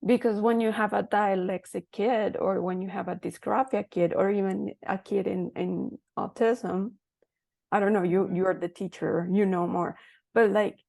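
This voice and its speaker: Slow, creaky-voiced woman host. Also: medium pitch, a little mellow, no echo. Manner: deliberate, searching for words as she asks. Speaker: podcast host. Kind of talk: interview questions.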